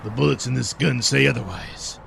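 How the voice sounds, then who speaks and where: A man's voice: medium pitch, a little fast, gravelly, a bit bright, a little hiss or hum. An actor playing a cruel, sneering male supervillain in a comedic audio play. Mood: menacing. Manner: sneering, bullying, theatrical.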